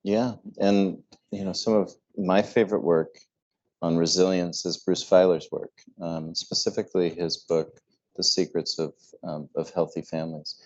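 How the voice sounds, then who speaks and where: A man's voice: low-pitched, fairly even intonation, moderate pace, lo-fi sound. A man moderating a panel discussion, speaking thoughtfully with small stammered restarts.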